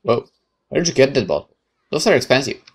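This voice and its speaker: A man's voice: medium pitch, sing-song, fairly quick, slightly rough, voice pushed up. A man voicing a young, naive, eager soldier in a visual novel, earnest and obedient in manner.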